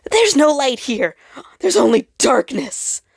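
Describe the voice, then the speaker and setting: A woman's voice: high-pitched, very animated, slow, gravelly, dry recording, pitched above the performer's own voice, exaggerated voice. A woman voicing a heartbroken, frightened male star in human form, sobbing and crying out in a strained voice for a story reading. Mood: sobbing panic.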